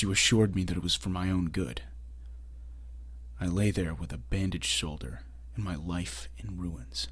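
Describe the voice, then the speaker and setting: A man's voice: sits low, a bit bright. A man playing a bitter, earnest young former sidekick, delivering a reproachful dramatic monologue in a comic dub. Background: music.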